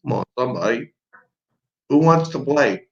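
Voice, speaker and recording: A man's voice: mid-range voice, expressive, moderate pace, vocal fry. A man co-hosting a livestream test, chatting casually and easygoing. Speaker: livestream co-host. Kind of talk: casual chat. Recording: lo-fi livestream audio.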